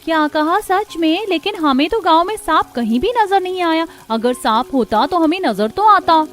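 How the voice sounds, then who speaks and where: High-pitched, excitable young village housewife voiced by narrator. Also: female voice, normal speed, faint music behind. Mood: startled. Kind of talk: Hindi moral-story cartoon dubbing.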